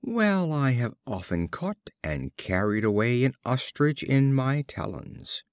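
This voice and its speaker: A man voicing a boastful male kite, a smooth-talking suitor bird in a storybook fable. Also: medium pitch, big pitch swings, slow, dry recording, clean studio sound. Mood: bragging.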